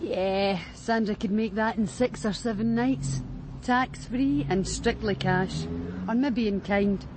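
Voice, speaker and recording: An actress playing a brash, streetwise Glasgow streetwalker in a radio drama, breezy and frank, with a Glaswegian accent. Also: female voice, mid-range voice, slow. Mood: breezy, confiding. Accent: Glaswegian Scottish. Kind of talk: naturalistic radio drama acting. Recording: faint urban street noise.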